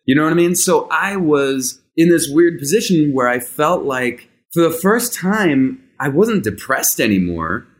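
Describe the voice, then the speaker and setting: A man in his thirties whose first language is Hebrew, hosting a podcast and telling a personal story in a candid, conversational way. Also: medium pitch. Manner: candid, conversational, tempo shifts between rushed and drawn out. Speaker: podcast host.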